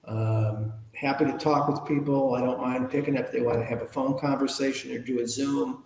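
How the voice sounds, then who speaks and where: A man's voice: mid-range voice, flat delivery, fairly quick, gravelly, a little mellow. A man in his fifties or older talking casually as an interview guest, plainspoken and informal, rambling a little. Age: 50s or older. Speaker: podcast guest, ethics speaker and author. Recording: echoey, reverberant room sound.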